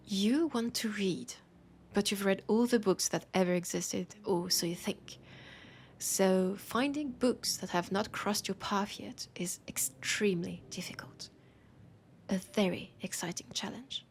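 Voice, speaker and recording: A woman with a French accent playing a weary, passionate bookshop owner in an intimate roleplay, softly and pensively teasing a vampire customer. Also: medium pitch, lightly acted. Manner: unhurried, pensive, teasing, with sighs and pauses. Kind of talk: intimate audio roleplay, scripted monologue addressed to listener.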